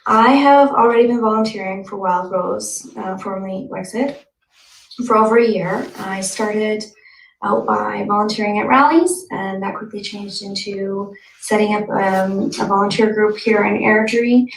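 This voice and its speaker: A woman rushing eagerly through a campaign self-introduction. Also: mid-range voice, vocal fry. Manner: hurried, eager. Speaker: party regional director candidate. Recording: echoey, distant mic, faint noise.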